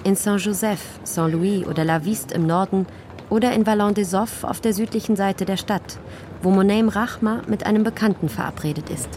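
A woman's voice: mid-range voice, normal speed, a little mellow, a little hiss or hum. A woman narrating a radio documentary from a script.

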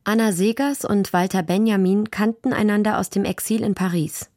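A woman's voice: mid-range voice, fairly even intonation, normal speed, darkish tone, dry recording, clean studio sound. A woman reading scripted narration for a radio documentary. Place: dry radio studio.

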